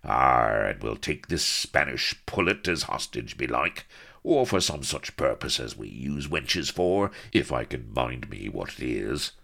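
A man voicing a loud, boisterous bearded pirate who bawls his lines. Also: extremely low pitch, sing-song, measured pace, creaky, voice pushed down, exaggerated voice. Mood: rowdy. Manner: bawling, roaring.

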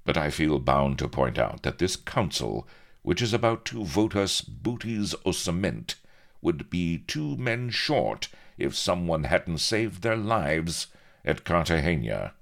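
A man voicing a proud, priggish gentleman captain with clipped disdain, in a comic storybook reading. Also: a bass voice, big pitch swings, takes it slowly, gravelly, full character voice. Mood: casual disdain. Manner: clipped, casual disdain, old-fashioned upper-class phrasing.

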